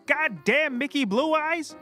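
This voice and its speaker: A man playing a petty, excitable, bombastic private investigator with a bickering, theatrical delivery in a comedy. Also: very high-pitched, measured pace, faint music behind, exaggerated voice. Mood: scornful.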